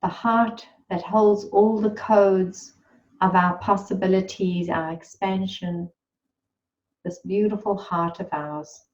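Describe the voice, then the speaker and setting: A woman leading a guided meditation in a gentle, soothing, unhurried way. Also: medium pitch, fairly even intonation, highs cut off. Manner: slow, soothing and unhurried, with long pauses.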